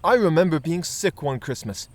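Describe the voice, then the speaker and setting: An actor playing a gentle, nostalgic grown man looking back fondly in an audio drama. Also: fairly high pitch, lively intonation, normal speed, natural voice. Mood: wistful, fond.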